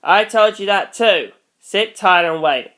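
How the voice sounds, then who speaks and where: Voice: mid-range voice, unhurried, fairly bright tone, lightly acted. A reader voicing a stubborn, blunt, tough-talking fighter who scolds, in an action story. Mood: stern.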